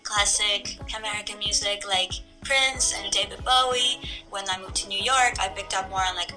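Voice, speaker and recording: Young woman from Ecuador, very bright voice, relaxed chatty singer, soft music underneath. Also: medium pitch, low-quality recording. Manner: relaxed, chatty, many "like" fillers. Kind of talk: casual talk to camera about her song.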